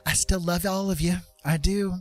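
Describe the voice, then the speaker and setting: A man's voice: high voice, steady tone, moderate pace, faint music behind. A man hosting a livestream, talking in a casual, unscripted, rambling and conversational way. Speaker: live streamer hosting a chat stream.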